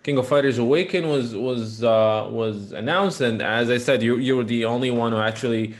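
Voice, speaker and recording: A man's voice: medium pitch, normal speed. Rambling male interview host. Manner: rambling, many restarts and fillers. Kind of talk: casual interview.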